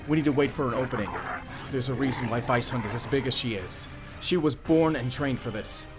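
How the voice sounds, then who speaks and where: An actor playing a stern, secretive werewolf hunter with a German accent, giving terse, grave orders in a cinematic audio drama. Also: male voice, mid-range voice, a little fast, music in the background, close to an everyday voice. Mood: tense, angry.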